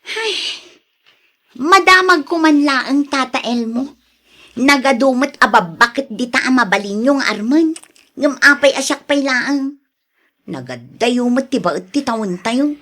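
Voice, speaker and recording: A woman's voice: sits high, animated, a little slow, pushed and bright, exaggerated voice. A woman playing a young single woman fending off old suitors in a radio drama, plain-spoken and exasperated, with an Ilocano accent. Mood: exasperated, apologetic.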